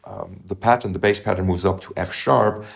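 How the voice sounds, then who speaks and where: Low gravelly man musing on music. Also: fairly even intonation. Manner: thoughtful, halting, hums melodies to illustrate. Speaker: podcast co-host, musician. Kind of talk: casual music discussion.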